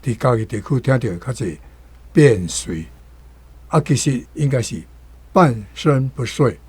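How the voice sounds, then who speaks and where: A Taiwanese man gives a careful language commentary, reading and explaining pronunciation. He speaks slowly in a dark, husky voice of medium pitch. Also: lively intonation, no echo. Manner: deliberate, explanatory.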